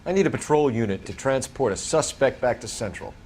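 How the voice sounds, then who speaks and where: An actor playing a stern homicide detective who questions a suspect in an accusing way. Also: male voice, medium pitch, lively intonation, a little fast, darkish tone, slight background noise, natural voice. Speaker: lead detective.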